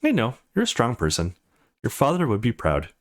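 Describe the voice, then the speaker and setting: A man voicing a friendly, caring adult woman from a visual novel, chatty and gently concerned. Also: medium pitch, wildly swooping pitch, a little fast.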